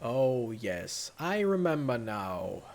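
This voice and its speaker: A man's voice: medium pitch, very animated, slow pace, darkish tone. A man hosting a let's play with casual, rambling commentary, thinking aloud as he plays. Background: faint video game music.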